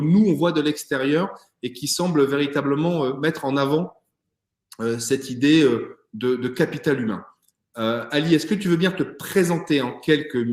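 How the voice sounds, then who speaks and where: A man's voice: a little high, roomy sound. A man hosting an online talk show interview by video call, hesitant and full of fillers. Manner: hesitant, many fillers and restarts. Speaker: host.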